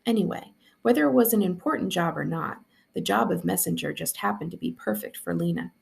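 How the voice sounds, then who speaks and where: A woman reading a story aloud as a school librarian, in an expressive narrating style. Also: fairly low pitch, brisk, creaky.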